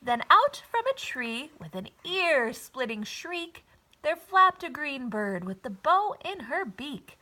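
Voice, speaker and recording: A woman narrating a children's story expressively, with a deliberate, rhythmic rhyming delivery. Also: high voice, big pitch swings, bright, forceful tone, rough recording. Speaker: storybook reader.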